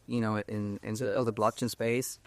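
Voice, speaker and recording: Smooth-voiced halting young man. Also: on the low side, level tone, no echo. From Cuba. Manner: halting, restarts phrases often. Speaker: guest. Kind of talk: casual interview talk.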